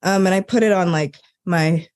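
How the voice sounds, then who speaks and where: A woman's voice: fairly low pitch, moderate pace, a little mellow. A woman guest on a podcast, an Enneagram coach, chatting casually and acting out her own quoted thoughts. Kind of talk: casual conversational storytelling.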